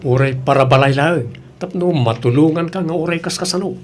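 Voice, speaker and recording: A man playing a sly, ingratiating boyfriend in an audio drama, flattering and wheedling as he talks. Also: on the high side, fairly quick, soft background music, lightly acted.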